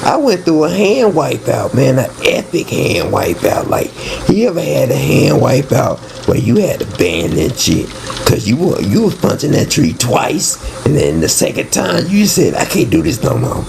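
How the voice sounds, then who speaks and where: A man's voice: mid-range voice, huge pitch swings, moderate pace, vocal fry, a little mellow, slight background noise. A man giving a casual, rambling spoken monologue in slang, often repeating words and restarting phrases. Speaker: narrator and storyteller.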